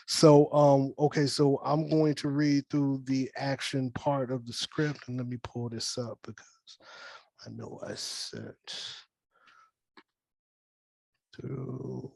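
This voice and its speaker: Casting: male table-read leader, steady middle pitch with little swing, informal and reassuring. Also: slow, gravelly, no echo. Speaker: table read organizer and writer, narrating action. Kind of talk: casual directing talk and script reading. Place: dry close room, virtual call. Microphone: video call.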